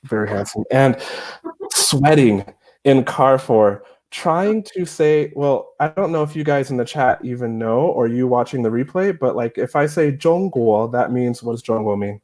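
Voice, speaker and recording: Animated man telling a story on a call. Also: mid-range voice, normal speed. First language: not Chinese. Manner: lively, self-mocking, acts out scenes. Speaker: Chinese teacher, guest. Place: small room indoors. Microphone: earphone mic on video call.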